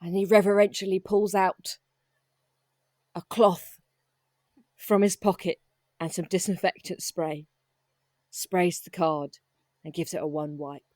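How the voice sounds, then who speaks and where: A woman's voice: on the low side, steady tone, a little slow, pauses often, a little mellow, no echo. A woman playing and narrating in an improvised comedy roleplay. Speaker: player in a roleplay podcast.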